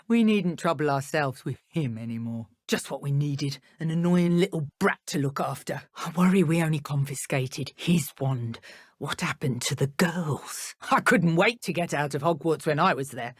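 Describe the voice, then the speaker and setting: A cruel British dark witch from a fantasy video game. She is haughty and contemptuous, taunting as she goes, and her pitch swings widely. The recording is close and completely dry. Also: lively intonation, moderate pace, husky, no echo. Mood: scornful, brooding. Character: cruel, sneering female dark wizard henchwoman, adult. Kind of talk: video game combat and idle voice lines.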